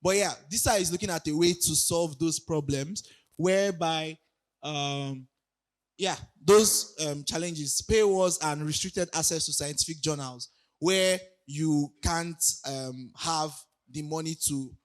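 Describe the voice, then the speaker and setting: A young man with a raspy voice from recent illness, giving a casual conference talk full of hesitations. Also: fairly high pitch, a little slow. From Nigeria. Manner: hesitant, many ums and restarts, laughs lightly. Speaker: conference speaker. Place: conference hall.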